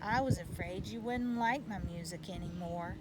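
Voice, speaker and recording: A woman voicing an earnest, gentle young boy in a storybook. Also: mid-range voice, normal speed, lightly acted. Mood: shy, affectionate. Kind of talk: storybook read-aloud. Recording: loud background noise.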